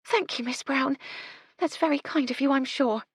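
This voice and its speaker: A woman's voice: sits high, moderate pace, no echo, clean studio sound, voice pushed up. A woman voicing a shy, dreamy young housemaid who speaks timidly and apologetically in a raised voice. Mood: shy delight.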